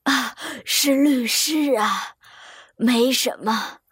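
An actress playing a polite, observant lady in an audio mystery drama, deliberate and quietly probing. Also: on the high side, husky, no echo, exaggerated voice. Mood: curious, polite. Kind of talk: radio drama acting. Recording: music behind the voice.

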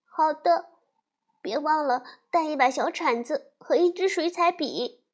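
Storybook little bear girl, tearful and pleading, very high, woman voices her. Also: unhurried. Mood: sad, hushed.

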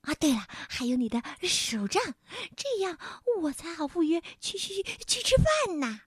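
Shrill, squeaky, hungry little imp. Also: female voice, very animated, unhurried, no echo, studio quality, full character voice. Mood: gleeful, excited. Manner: stammering, exclaiming, giggling. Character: tiny hungry moonlight imp, rude, excitable, greedy.

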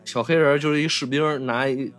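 A man's voice: on the high side, fairly even intonation, fairly quick, fairly bright tone, faint music behind. A man, talking casually and enthusiastically about film as a podcast host, often repeating himself.

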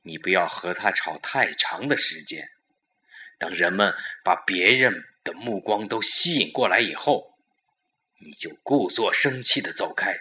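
A man playing a smug, scheming murder plotter in a suspense audio drama, coaxing his lover with emphatic, deliberate instructions. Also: deep voice, animated, sharp tone, heavily acted. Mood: insistent.

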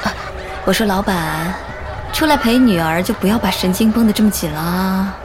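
An actress playing a gentle, earnest grown woman in a modern audio drama, speaking softly and tenderly. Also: mid-range voice, normal speed, heavy background noise, natural voice. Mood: playful. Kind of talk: modern Chinese radio drama acting. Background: music.

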